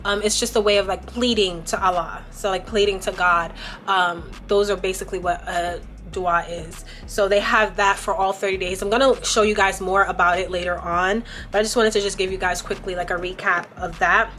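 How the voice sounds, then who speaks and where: A woman's voice: mid-range voice, fairly even intonation, normal speed, fairly bright tone, soft background music. A young woman vlogging casually to camera, explaining things in a chatty way with plenty of "um" and "like". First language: not Arabic. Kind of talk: casual vlog talk to camera.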